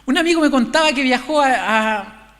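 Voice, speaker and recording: A man with a Chilean accent giving an informal, conversational sermon, haltingly repeating and restarting his phrases. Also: extremely high pitch, lively intonation, fairly bright tone. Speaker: preacher. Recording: echoey hall, long reverb.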